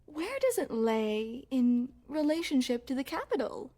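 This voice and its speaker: Woman acting a soft-toned, unhurried regal young princess. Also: high voice, big pitch swings. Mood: sweet, curious. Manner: formal, courtly, coy phrasing. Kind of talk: intimate ASMR roleplay acting.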